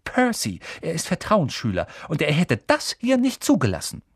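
A bossy young schoolgirl from a storybook, acted out by a male narrator, pitched high and sharp. She scolds and preaches with shrill indignation, her voice swooping up and down. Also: very animated, normal speed, breathy edge, dry recording, clean studio sound, full character voice. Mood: snippy.

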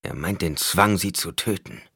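A man's voice: deep voice, moderate pace, gravelly, pushed and bright, slightly rough, dry recording, studio quality, full character voice. A man voicing a loyal male swordmaster in an audiobook, terse and grim with a growling edge.

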